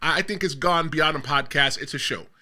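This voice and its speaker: Bright-voiced man hosting a podcast. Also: on the high side, brisk. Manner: rambling storyteller, laughs loudly. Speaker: podcast host. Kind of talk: casual podcast chat.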